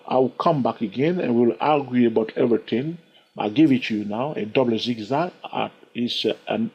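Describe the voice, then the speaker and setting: A man teaching a chart lesson on trading, constantly checking in with the listener with quick questions. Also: mid-range voice, slightly rough. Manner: animated, keeps asking the listener "yes or no?" and "okay?". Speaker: trading instructor. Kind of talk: screen-recorded chart lesson. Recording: dull lo-fi recording.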